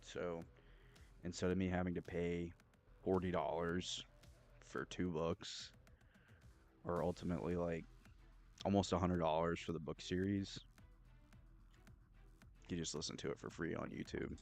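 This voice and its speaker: A man chatting casually as he streams a game, rambling and repetitive in a halting, slangy way full of filler words. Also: low voice, flat delivery, a little mellow, faint music behind. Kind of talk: casual rambling chat while gaming. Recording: gunfire and game noise behind. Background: video game gunfire and clicks.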